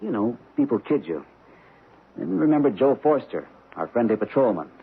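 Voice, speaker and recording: A man's voice: mid-range voice, big pitch swings, moderate pace, creaky, slightly rough, narrow, tinny audio, heavily acted. An actor playing a protective, plain-spoken husband and father in an old radio drama, matter-of-fact and conversational. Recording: band-limited, noisy old radio recording.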